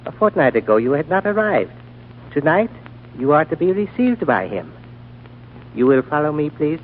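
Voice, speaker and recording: A man's voice: fairly high pitch, sing-song, moderate pace. An actor playing a polite, formal male servant who speaks in a deferential, ceremonious way. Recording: tinny old radio broadcast, faint hiss.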